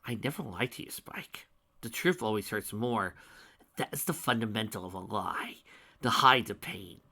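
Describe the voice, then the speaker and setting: A man's voice: fairly low pitch, animated, moderate pace, gravelly, sharp tone, heavily acted. A man voicing a manic, bloodthirsty male alicorn villain who cackles and sneers. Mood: mocking.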